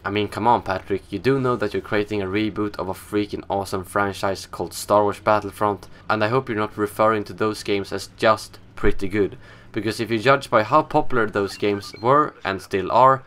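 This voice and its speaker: Low-voiced Swedish man hosting game news. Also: fairly even intonation, normal speed, few pauses, fairly bright tone. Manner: casual, opinionated. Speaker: gaming news host. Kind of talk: scripted news commentary.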